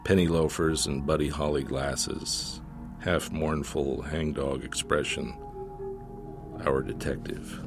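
A man's voice: very deep voice, fairly even intonation, takes it slowly, over music. A man reading his short story as a scripted narration in a wry, folksy storytelling manner.

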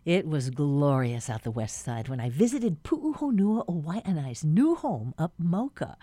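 Female radio host, mellow tone, relaxed chatty delivery. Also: sits low, measured pace, no echo, clean studio sound. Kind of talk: public radio talk and field reporting.

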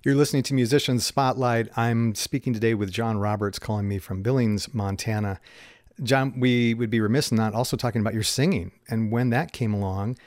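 A man hosting a radio interview, conversational and measured, a little halting at times. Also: medium pitch, a little mellow, no room sound, clean studio sound.

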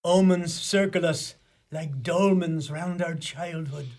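An actor plays an Irish village priest in a radio drama, with a high-pitched voice. He is superstitious and portentous, speaking in florid, ominous phrases. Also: steady tone, takes it slowly, darkish tone. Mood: ominous, fearful. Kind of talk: radio play acting.

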